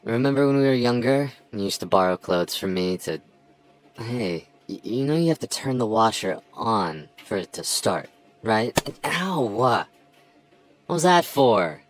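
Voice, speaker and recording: A man's voice: fairly low pitch, lively intonation, measured pace. A young man acting a sarcastic, teasing childhood friend who bickers in an audio roleplay, sounding exasperated. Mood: teasing, wry. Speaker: ASMR roleplay voice actor. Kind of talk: ASMR roleplay acting, one side of a dialogue.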